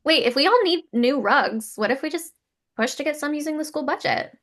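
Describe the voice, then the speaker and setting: A woman's voice: high voice, lively intonation, fairly quick, fairly bright tone. A woman playing an eager, slightly awkward young teacher in a sitcom table read, chatty and hesitant. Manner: chatty, hesitant, people-pleasing. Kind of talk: sitcom table read, mockumentary talking heads.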